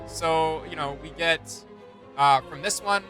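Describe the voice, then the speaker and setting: A man's voice: fairly high pitch, measured pace, bright, forceful tone, soft background music. A man hosting a casual reaction commentary, speaking informally with slang and often searching for his words. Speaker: reaction video host.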